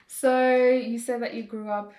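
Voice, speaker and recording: A young woman hosting an interview, asking questions in a slow, gentle way. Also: a little high, flat delivery. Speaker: host. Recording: echoey room.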